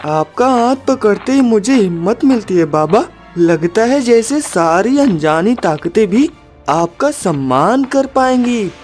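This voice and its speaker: A woman's voice: medium pitch, lively intonation, a little slow, darkish tone, close to an everyday voice. Woman doing a sweet earnest little boy for a cartoon, devoted son, music underneath. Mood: devoted, brave. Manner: earnest, tender. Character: devoted, brave young woodcutter's son.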